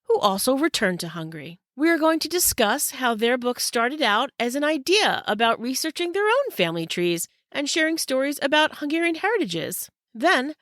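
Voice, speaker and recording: A woman's voice: high voice, big pitch swings, normal speed, few pauses, a bit bright, no echo, studio quality. A woman hosting a podcast, greeting listeners and introducing her guests in an upbeat, welcoming way. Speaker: podcast host. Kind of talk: scripted podcast intro and interview.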